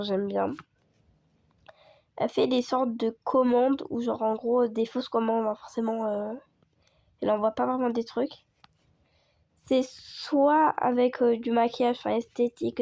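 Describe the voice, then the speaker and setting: A woman chatting casually over gameplay as a video creator, hoarse from a cold and rambling with lots of fillers. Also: fairly high pitch, warm tone, no room sound. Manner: rambling, full of fillers and restarts.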